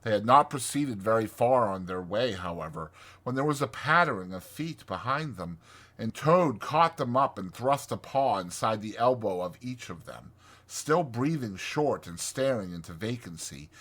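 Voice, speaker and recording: A man narrating a dramatized audiobook story in a measured, clearly phrased and expressive way. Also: fairly low pitch.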